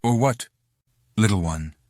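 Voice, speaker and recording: A man's voice: lowish voice, unhurried, darkish tone, close to an everyday voice. A man playing a young fantasy commander, a teasing and protective older brother, in an audiobook. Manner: teasing, smirking. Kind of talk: audiobook character acting.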